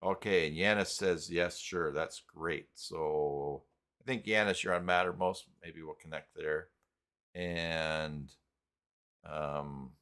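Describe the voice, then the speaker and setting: A man with a low voice hosts an informal live tutorial. He talks casually to his viewers and works things out aloud, in a halting, unscripted way. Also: fairly bright tone. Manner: halting, thinking aloud while clicking around. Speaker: live stream host. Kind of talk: casual live tutorial talk, reading chat.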